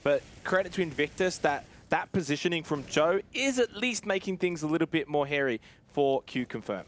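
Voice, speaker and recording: A man's voice: a little high, animated, moderate pace. A man giving live analysis as an esports co-commentator in a conversational, explanatory way. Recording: clear game noise and clicks behind. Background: game sounds and clicks.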